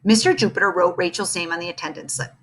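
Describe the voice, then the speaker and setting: Bright, animated woman reading aloud. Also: on the low side, quick pace, slight background noise. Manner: animated, acting out every character. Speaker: reader. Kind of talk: reading a children's book aloud.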